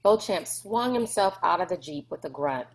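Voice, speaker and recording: A woman's voice: lowish voice, animated, normal speed. A woman narrating a children's novel aloud in a scripted storybook style.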